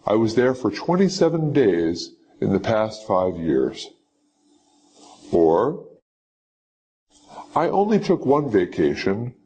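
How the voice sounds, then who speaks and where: A man reading scripted sample answers for a citizenship interview in a slow, measured, instructive way. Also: fairly low pitch, sing-song, mellow, breathy edge, muffled recording. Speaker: teacher.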